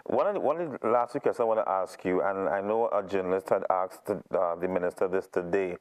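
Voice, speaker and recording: A man's voice: low-pitched, fairly quick, a little mellow. A man with a Trinidadian accent hosting a conversational interview, thinking aloud as he builds up his questions. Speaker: interviewer, show host.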